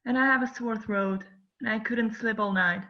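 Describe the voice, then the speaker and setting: A reluctant, evasive teenage girl of seventeen played by a woman in a drama read. The voice is fairly high and the recording slightly muffled. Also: normal speed, close to an everyday voice. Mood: evasive, whiny. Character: evasive seventeen-year-old Russian girl feigning illness. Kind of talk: amateur script table read.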